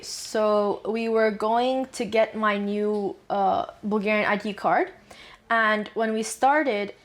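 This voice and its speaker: A young woman from Dubai, a student guest answering interview questions in a frank, chatty way and laughing easily. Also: medium pitch. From Dubai, UAE. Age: young adult.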